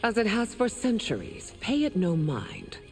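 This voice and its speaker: Regal, imperious empress in cavernous reverb. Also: female voice, medium pitch, wildly swooping pitch, measured pace, soft background music. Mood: stern, measured. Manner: formal, imperious. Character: regal, stern empress and mother, proud ruler. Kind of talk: dramatic video game dialogue.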